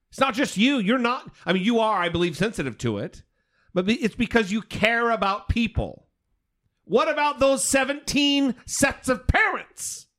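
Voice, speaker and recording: A man's voice: very high voice, fairly bright tone. A man co-hosting a talk podcast, speaking casually and emphatically, repeating phrases and swearing freely. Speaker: podcast co-host. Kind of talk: casual talk, reacting to listener voicemails.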